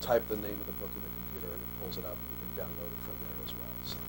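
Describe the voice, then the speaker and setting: A man in his forties with a lowish voice, from Australia, who chats in long, loose sentences and often starts over. A clear electrical hum runs under the studio recording. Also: racing. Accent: American English, faint Australian trace lost. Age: 40s. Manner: relaxed, talks in long loose sentences with restarts. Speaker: author guest. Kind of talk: casual TV interview chat.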